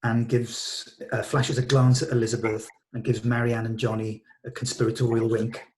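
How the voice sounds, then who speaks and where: A man's voice: medium pitch, level tone, normal speed, darkish tone, low-quality recording. Male narrator, mid-range voice, scripted stage directions, table read.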